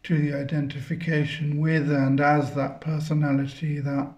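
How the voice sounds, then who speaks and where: A man leading a guided meditation, speaking contemplatively in halting phrases with long pauses between them. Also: fairly high pitch, level tone, darkish tone. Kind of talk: guided meditation, spiritual talk.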